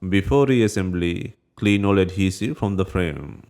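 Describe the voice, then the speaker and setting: A man with a low-pitched voice delivers scripted tutorial narration. He gives short, plain instructions one step at a time, in the manner of a repair guide. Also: animated, a little slow, a little mellow.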